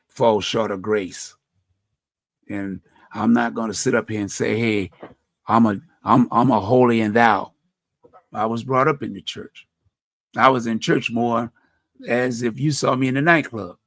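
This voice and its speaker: Gravelly deep-voiced man, earnest talk. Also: fairly even intonation, a little slow, long pauses, dry recording. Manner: earnest, churchy, repeats and restarts phrases. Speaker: community radio host. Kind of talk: reflective personal talk.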